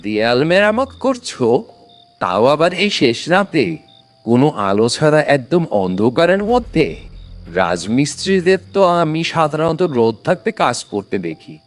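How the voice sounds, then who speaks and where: A man playing a pious, shrewd, kindly old man in a dramatic cartoon story, speaking in a deliberate, theatrical way. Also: fairly high pitch, sing-song, soft background music. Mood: suspicious questioning. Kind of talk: dramatic animated moral story.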